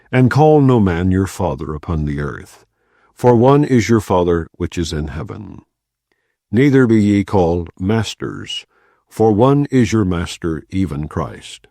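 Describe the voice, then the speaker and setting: A man giving a solemn, measured, non-dramatized reading of scripture from the Bible. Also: deep voice, warm tone, no room sound, studio quality. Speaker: Bible reader.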